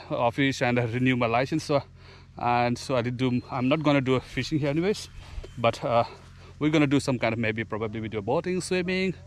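A man's voice: mid-range voice, slight background noise. Chatty man vlogging outdoors. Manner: chatty, loose informal phrasing. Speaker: travel vlogger. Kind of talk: casual vlog narration. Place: outdoors by a lake in the woods. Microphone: camera held at arm's length.

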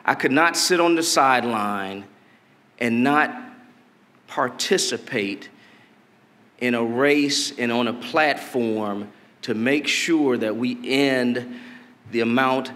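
Man giving a measured speech in a reverberant hall. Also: mid-range voice, a bit bright. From Detroit, Michigan. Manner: deliberate, measured delivery with emphatic rises. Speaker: political candidate. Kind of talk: campaign stump speech. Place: convention hall stage. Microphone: podium mic.

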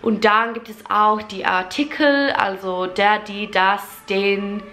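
A woman's voice: mid-range voice, fairly even intonation, slow pace, sharp tone, slight background noise. A young Englishwoman chatting casually to the camera in German as a learner, with an English accent and many fillers and restarts. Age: young adult. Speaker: vlogger. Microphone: camera at close range.

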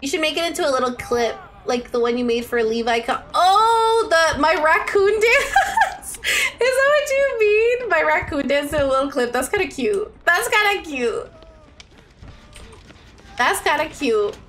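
A young woman streams a game in a very high voice that swings widely in pitch. She talks playfully to her chat in a dramatic, gushing way, with some background noise behind her. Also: unhurried. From Ontario, Canada. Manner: animated and playful, with dramatic outbursts and gushing endearments. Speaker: live streamer. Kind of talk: chatty game livestream commentary, reading out viewer chat. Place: indoor room at a streaming setup.

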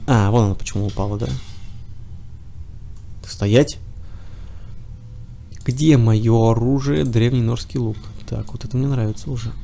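A man's voice: mid-range voice, unhurried, creaky, long pauses, warm tone. A man with a cold giving casual running commentary while playing a game, chatting to himself and to the enemies on screen. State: has a cold. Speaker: let's play gamer.

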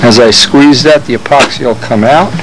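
A man's voice: medium pitch, moderate pace. A man giving a casual hands-on how-to, talking unscripted as he works, as a hobbyist showing a repair. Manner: unscripted, thinking aloud while working. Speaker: hobbyist demonstrating model plane repair. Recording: noisy workshop with steady machine hum.

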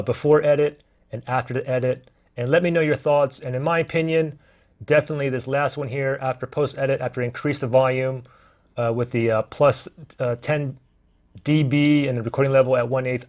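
A man in his thirties talking through a camera microphone test step by step, in a relaxed way with fillers, recorded indoors on a camera-mounted shotgun mic. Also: mid-range voice. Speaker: gear reviewer. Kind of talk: casual how-to walkthrough. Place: indoor room, desk demo.

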